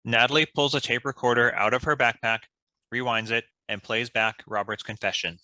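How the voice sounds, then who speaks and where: A man's voice: mid-range voice, fairly even intonation, moderate pace, bright, forceful tone, rough recording. A man reading stage directions as scripted narration for a table read.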